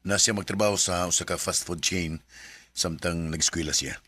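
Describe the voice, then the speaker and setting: An earnest, smitten young suitor played by a man with a very low voice. He speaks in a reassuring, sincere way in an amateur radio drama. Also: steady tone, normal speed, bright, forceful tone, breathy edge, no room sound, heavily acted.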